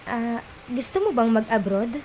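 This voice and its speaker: A woman's voice: a little high, expressive, unhurried, a little mellow, a little hiss or hum. A woman playing a polite, coaxing pregnant supervisor in a radio drama.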